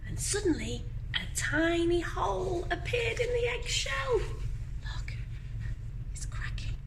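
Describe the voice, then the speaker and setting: Woman reading a storybook over background noise. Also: very high-pitched. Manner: expressive, with pauses to point out pictures. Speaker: storyteller reading a children's picture book. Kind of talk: storybook read-aloud for young children. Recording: clearly noisy background ambience.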